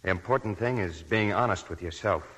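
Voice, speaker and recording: An actor playing an earnest, hesitant young army lieutenant in a 1940s radio drama. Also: male voice, deep voice, brisk, fairly bright tone.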